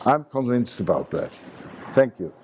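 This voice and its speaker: Slow, pausing man at a seminar. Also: mid-range voice, big pitch swings, a little mellow, a little hiss or hum. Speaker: seminar speaker. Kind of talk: memorial talk. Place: conference hall.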